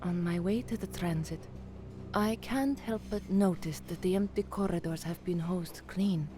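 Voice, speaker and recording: A woman's voice: fairly low pitch, moderate pace, over music, natural voice. An actress playing an anxious, frank young working-class woman, a trainee newsreader, in an audio drama with first-person narration. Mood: wry narration.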